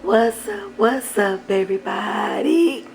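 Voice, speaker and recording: A hoarse middle-aged woman chatting warmly to viewers while cooking in her home kitchen, often clearing her throat. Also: fairly high pitch, lively intonation, slow. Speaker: cooking channel host. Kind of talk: casual cooking vlog chat.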